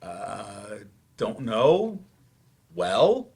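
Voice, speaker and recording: A man's voice: lowish voice, wildly swooping pitch, very slow, darkish tone, slightly rough, exaggerated voice. A male actor in a staged play reading, playing a frustrated, defensive therapy patient who talks in a hesitant, grudging way. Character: defensive, frustrated man in therapy, once-promising writer turned teacher.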